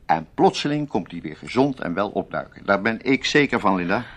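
A man's voice: fairly low pitch, very animated, fairly quick, fairly bright tone, slight background noise. An actor in a Dutch radio play playing a paternal, sensible male friend, soothing and a little patronising. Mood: soothing, reassuring.

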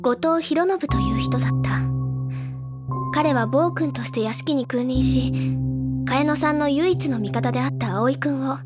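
A woman voicing a bubbly, earnest teenage schoolgirl who tells stories in an expressive, chatty audio drama style. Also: high voice, a little slow. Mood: earnest storytelling. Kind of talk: Japanese audio drama acting. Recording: background music under the voice.

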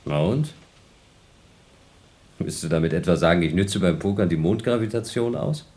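A man's voice: sits low, animated, normal speed, a little mellow. A man playing a bored, teasing astronaut on a moon base in a radio play, talking in a colloquial, needling way.

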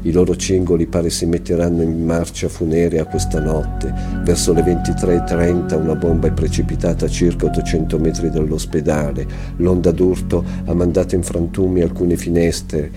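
A man giving a literary reading of a first-person diary. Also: sits low. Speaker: reader. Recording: clear music behind the voice. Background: music.

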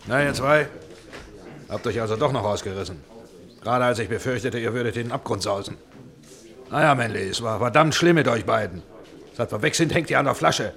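An actor playing a bossy, irritable film producer with a commanding, emphatic manner, in a German radio play. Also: male voice, medium pitch, brisk, gravelly, long pauses, a bit bright. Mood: scolding. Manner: commanding, emphatic, formal with superiors.

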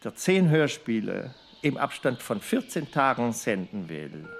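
Publisher: mid pitch, very varied intonation, formal and grave. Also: male voice, very animated, unhurried, creaky, husky, soft background music, exaggerated voice. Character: publisher reporting gravely on an author's money troubles. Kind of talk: dramatised letter reading in radio play.

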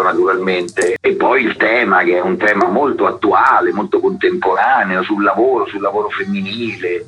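A man speaking as a theatre director in a radio interview, explaining his work, with a husky voice and dull sound. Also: sits low.

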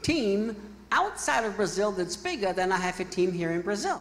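A man from Austria with an Austrian accent, preaching in English as a second language, with pauses in short phrases for his interpreter. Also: sits high, sing-song, fairly bright tone. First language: German. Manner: speaks in short phrases, pausing for translation, plain and joking. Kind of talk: sermon with live interpreter. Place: church hall in Brazil.

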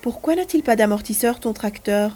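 A woman playing a curious, eager little grandchild with a husky voice and an inquisitive, expressive manner in an audio drama. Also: fairly high pitch, normal speed, a little hiss or hum, close to an everyday voice. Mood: cheerful. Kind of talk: French-language audio drama acting.

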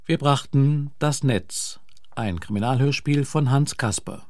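Slow, formal male radio announcer. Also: mid-range voice, a little mellow, no room sound, studio quality.

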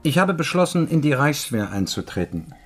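A man's voice: fairly high pitch, sing-song, moderate pace, soft background music. An actor playing a stubborn, principled male philosophy student in a radio drama, formal and resolute.

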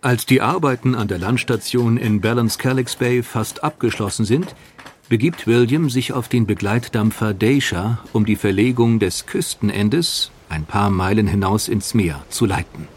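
A man reading a scripted documentary narration in a measured, factual way. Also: fairly low pitch, slight background noise.

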